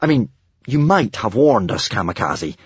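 A man's voice: mid-range voice, sing-song, normal speed, husky, no room sound, highs cut off, voice pushed up. A man voicing a sensible, earnest boy hero in a storybook. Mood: exasperated. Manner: reassuring, earnest.

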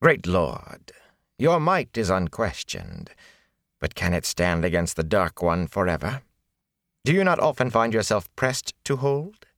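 A man voicing a filthy, cringing male beggar who whines and then turns smooth, unctuous and haughty. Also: deep voice, huge pitch swings, a little slow, fairly bright tone, no echo, clean studio sound, full character voice. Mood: oily.

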